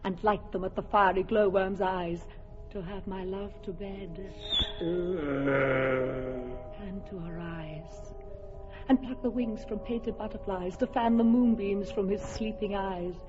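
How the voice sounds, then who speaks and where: A woman's voice: mid-range voice, steady tone, a little slow, long pauses, highs cut off, music in the background, lightly acted. An actress playing a proud, doting fairy queen who speaks lyrical verse in a classic radio drama. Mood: tender. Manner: lyrical, imperious verse delivery.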